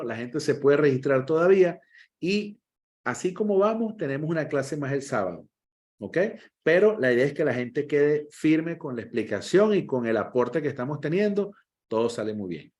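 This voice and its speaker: A man, a first aid instructor, closing an online class over a video call in a lively, encouraging way and repeating himself often. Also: medium pitch.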